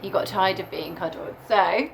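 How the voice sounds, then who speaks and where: A woman teacher reading a picture book aloud to young children in a deliberate, animated storytelling way. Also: medium pitch, creaky, a little hiss or hum. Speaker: primary school teacher reading to her class.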